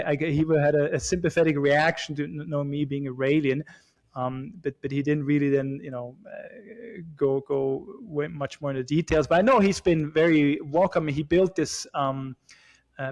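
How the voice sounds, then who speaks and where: A man in his forties from Switzerland, talking as a guest in a relaxed chat. His voice sits a little high for a man. He speaks haltingly, with lots of fillers, repeated words and restarts. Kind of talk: casual chat.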